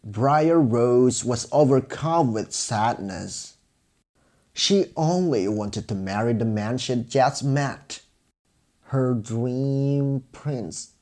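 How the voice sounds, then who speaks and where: A man's voice: medium pitch, very slow, mellow. A man narrating a scripted fairy tale for children as a storyteller.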